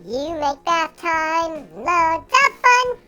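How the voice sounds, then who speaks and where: A man's voice: big pitch swings, extremely slow, bright, forceful tone, faint music behind, exaggerated voice. A man voicing a small, silly, playful cartoon creature through a voice changer. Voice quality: squeaky, processed. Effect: pitch-raising voice changer.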